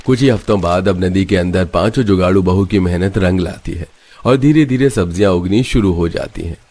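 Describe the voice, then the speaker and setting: A man's voice: sits low, a little fast, darkish tone, faint music behind. A man reading scripted narration for a cartoon story.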